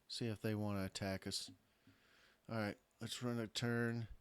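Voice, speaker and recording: A man with a lowish, hoarse voice talks through his moves in a strategy game. He thinks aloud, trailing off and correcting himself as he weighs his options. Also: little pitch movement, a little slow, slight background noise. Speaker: gameplay commentator. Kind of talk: casual let's-play commentary.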